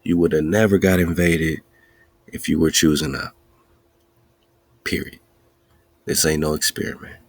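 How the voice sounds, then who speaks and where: Gravelly low-voiced man preaching. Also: steady tone, measured pace, long pauses, dry recording. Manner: preachy, repetitive, chanting lists; laughs between points. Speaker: podcast host. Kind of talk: spiritual teaching talk.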